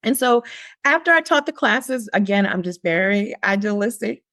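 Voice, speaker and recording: A woman guest on a podcast, telling a personal story in a lively, conversational way, often pausing on "like". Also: medium pitch, no echo, studio quality. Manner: animated, lively quoting of her younger self; frequent "like" fillers and restarts.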